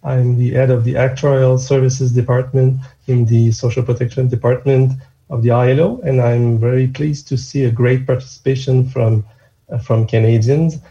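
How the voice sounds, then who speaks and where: A man with a Quebec French accent introduces himself in a cordial, formal way. His tone is very dark and mellow. The dull, lo-fi audio muffles his voice. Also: medium pitch, level tone, normal speed. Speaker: department head.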